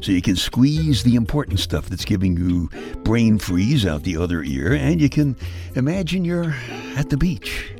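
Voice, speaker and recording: A man's voice: medium pitch, normal speed, soft background music. A man with a Brooklyn accent giving a wry, scripted comic monologue, chuckling at his own jokes. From Brooklyn, New York. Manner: wry deadpan storytelling with chuckles. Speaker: radio humor host.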